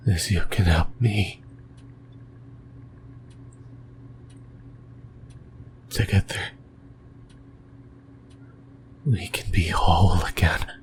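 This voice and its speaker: A man playing a possessive, lonely sleep paralysis demon in a creepy ASMR roleplay, whispering breathily in slow, halting phrases. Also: low voice. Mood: seductive, coaxing. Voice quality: breathy, mostly voiceless whisper. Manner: slow, deliberate, halting phrases with long pauses.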